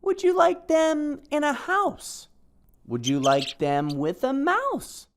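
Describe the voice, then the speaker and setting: A woman's voice: high voice, big pitch swings, slow, slight background noise, full character voice. A woman voicing a cheerful, pushy little storybook character who keeps coaxing with sing-song questions. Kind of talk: storybook reading.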